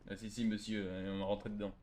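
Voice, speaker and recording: A man's voice: lowish voice, flat delivery, quick pace, darkish tone. A man in casual gaming roleplay banter, talking in a slangy, argumentative way. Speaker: game streamer trolling in a roleplay server.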